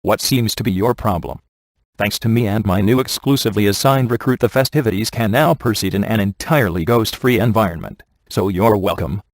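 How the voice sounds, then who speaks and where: An actor playing a smug, wisecracking ghost hunter in a comic video game, delivering his lines in a sarcastic deadpan. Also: fairly low pitch, a little fast, no room sound, studio quality. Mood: dry, smug.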